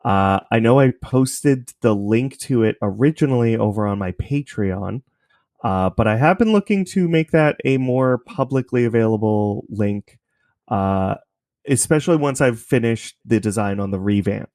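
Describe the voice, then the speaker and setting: A man with a fairly low voice speaks informally as a podcast guest in an interview. He explains things in a casual, conversational way, and the sound is dry and close. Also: expressive, moderate pace, darkish tone, no room sound.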